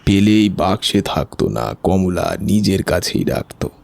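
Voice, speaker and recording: A man playing a somber, resigned village uncle in an audio story, speaking gravely and tersely. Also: low-pitched, very animated, a little slow, darkish tone, full character voice.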